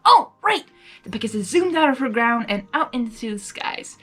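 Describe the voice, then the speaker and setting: A woman reading fan fiction aloud, narrating and breaking into animated, giggly asides. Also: a little high, a little fast. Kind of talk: story narration with excited casual asides. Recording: music behind the voice. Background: music.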